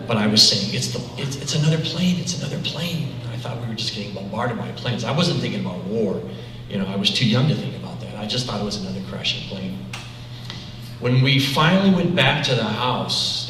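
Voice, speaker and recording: Lowish man in an echoey hall. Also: noisy background. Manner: halting, restarts phrases. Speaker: eyewitness panelist. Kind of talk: personal recollection. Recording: distant echoey hall recording.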